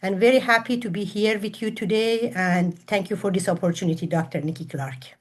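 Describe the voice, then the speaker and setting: A woman's voice: mid-range voice, a little fast. Woman, middle-aged, Iranian accent, earnest formal panelist, coach introducing her work, video call. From Iran. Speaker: panelist, women's empowerment coach. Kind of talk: prepared self-introduction at a virtual panel. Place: virtual summit video call.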